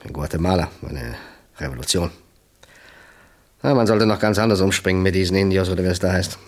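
An actor playing a chummy, boastful small-time gangster boss in a radio crime drama, talkative and jovial. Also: male voice, low-pitched, brisk, natural voice. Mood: nostalgic, chummy.

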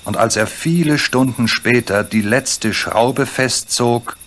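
A man's voice: mid-range voice, slow, a bit bright. A man narrating a children's radio play in German as a scripted storyteller. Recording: faintly noisy, cricket chirps behind.

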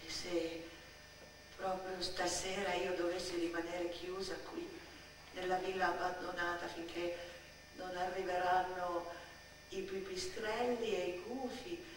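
A woman in a theatrical radio monologue, playing a dramatic, brooding little girl who speaks poetically in deliberate short phrases. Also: on the low side, level tone, lightly acted. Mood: hushed, ominous. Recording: echoey stage sound, distant, faint noise.

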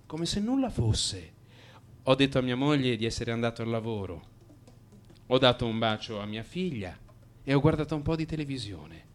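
An actor playing a troubled, melancholy man in crisis in a radio drama monologue, brooding and introspective, blaming himself in halting, repeated phrases. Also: mid-range voice, a bit bright, lightly acted. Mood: detached, confessional.

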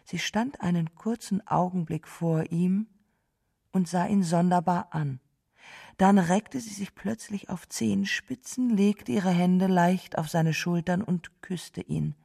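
A woman reading scripted literary narration in a dark voice with a gravelly edge. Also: fairly low pitch, slow pace.

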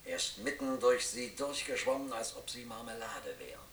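A man's voice: mid-range voice, big pitch swings, normal speed, fairly bright tone, slight background noise. An actor playing a hapless, literal-minded seaman in a radio play, earnest and plaintive as he dutifully answers his captain. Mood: earnest, puzzled.

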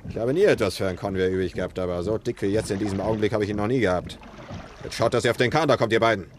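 A man's voice: on the low side, racing, slight background noise, close to an everyday voice. A man playing a menacing crook with a gun, sneering and threatening in a 1960s German radio drama. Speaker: villain.

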